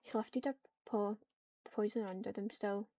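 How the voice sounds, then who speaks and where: A woman's voice: medium pitch, steady tone, moderate pace, mellow. A woman improvising story narration as a player in an online game roleplay. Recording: dull, distant home microphone.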